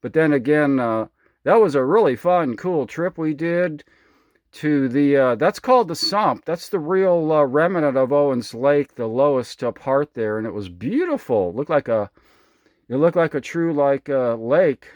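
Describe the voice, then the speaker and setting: A man's voice: fairly high pitch, darkish tone, dry recording. An American man chatting casually as an amateur radio operator, rambling along in a folksy, enthusiastic way. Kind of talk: casual ham radio chat. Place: indoors at a home radio station.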